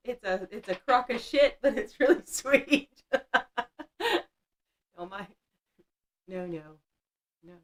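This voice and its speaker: Woman, 50s, livestream host; chatty, blunt, self-mocking, quick to laugh. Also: on the high side, animated. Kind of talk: casual livestream chat reading the chat.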